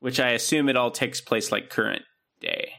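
Gravelly bright-voiced podcast host. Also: male voice, medium pitch, huge pitch swings, moderate pace. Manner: rambling, many ums and restarts. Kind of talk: casual chat.

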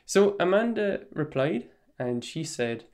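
An Irish man hosting a solo podcast, explaining his views in a measured, even-tempered way. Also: fairly high pitch. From Ireland. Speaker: podcast host.

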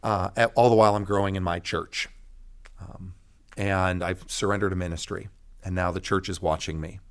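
Middle-aged American male pastor, lowish voice, emphatic preacherly phrasing, telling his own story as an interview guest. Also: steady tone, normal speed. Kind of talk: personal testimony in an interview.

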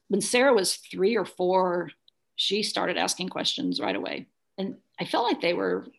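Middle-aged female interview guest, gravelly lower register, plain-spoken personal recollection. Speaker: interview guest, adoptive single mother. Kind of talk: conversational interview, recounting personal experience.

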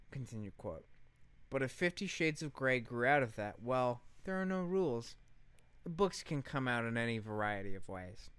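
Clear-voiced man telling a podcast story. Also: mid-range voice, fairly bright tone, no echo. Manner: emphatic, sarcastic, swears casually. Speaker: podcast co-host. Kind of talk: casual storytelling commentary, reading out quotes.

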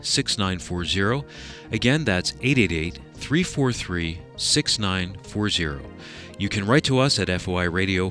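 A man with a lowish voice that moves lively up and down delivers a scripted radio outro, reading out details clearly and deliberately. Faint theme music plays softly underneath. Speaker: radio program announcer and executive producer.

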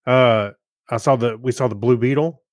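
Man, medium pitch, very dry sound, halting delivery, casual podcast reviewer. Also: steady tone, dry recording. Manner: halting, searching for words. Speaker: podcast co-host. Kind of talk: casual film review chat.

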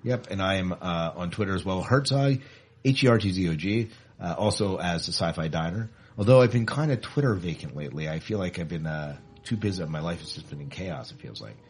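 A man's voice: deep voice, expressive, brisk, husky. A man hosting a podcast in a casual, chatty style. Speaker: podcast host.

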